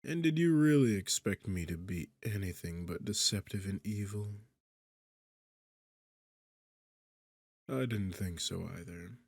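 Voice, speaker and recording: A man voicing a smug, sarcastic demon king in an ASMR roleplay, with a husky, gravelly low voice and a mocking, theatrical delivery. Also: lively intonation, normal speed, darkish tone, no echo, studio quality. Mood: haughty, commanding. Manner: mocking, teasing, condescending, theatrical. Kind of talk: ASMR roleplay audio drama.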